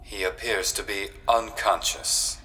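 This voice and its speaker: An actor voicing a quirky ship's computer in a sci-fi audio drama, clipped and formal with a dry mischievous streak. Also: male voice, on the low side, fairly even intonation, measured pace, bright, forceful tone, in a large hall, low-quality recording. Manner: clipped, formal machine-like announcements. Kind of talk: science fiction audio drama acting.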